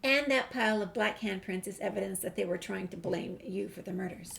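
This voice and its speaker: A woman's voice: mid-range voice, a little fast, creaky, fairly bright tone, slight background noise. A woman hosting a casual game playthrough, musing aloud as she goes. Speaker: gaming host.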